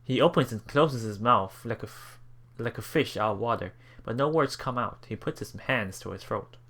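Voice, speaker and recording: A man hosting a let's play, reading visual novel text aloud haltingly, with casual asides. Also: medium pitch, fairly bright tone. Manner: halting, stumbles and repeats words while reading.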